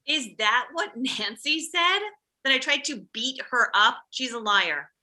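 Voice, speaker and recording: A woman's voice: on the high side, lively intonation, a little slow, pushed and bright, heavily acted. A woman playing an impulsive, overprotective middle-aged mother in a comedy table read, emphatic and self-justifying. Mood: indignant.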